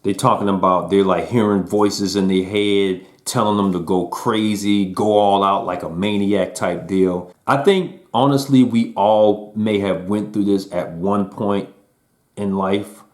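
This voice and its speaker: A man talks through an album in a loose, chatty way. His voice sits a little low and he fills his sentences with slang and easy fillers. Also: measured pace. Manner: relaxed and conversational, with slang and fillers. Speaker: music reviewer. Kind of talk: casual album review.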